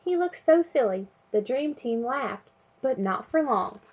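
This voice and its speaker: A woman reading a children's picture book aloud in an expressive way, chanting refrains and sound words. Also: a little high, unhurried, slightly rough, highs cut off. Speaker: storybook reader.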